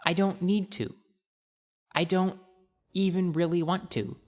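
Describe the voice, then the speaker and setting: A man voicing a bright, self-conscious fourteen-year-old girl in an audiobook, speaking earnestly and deliberately with dramatic pauses. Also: high-pitched, long reverb tail, pitched above the performer's own voice. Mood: earnest, theatrical.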